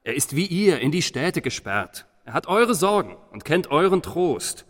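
Commanding herald in a reverberant hall. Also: male voice, a little high, big pitch swings, unhurried, fairly bright tone, exaggerated voice. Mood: earnest, insistent. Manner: emphatic, declamatory. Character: commanding male herald hushing a city crowd. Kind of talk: declaimed verse radio play. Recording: long hall reverb, faint music.

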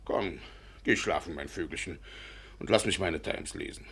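A man's voice: sits low, normal speed, fairly bright tone, breathy edge. An actor in a German radio play, playing a pompous, doting middle-aged Russian landowner and father who is effusive and easily indignant.

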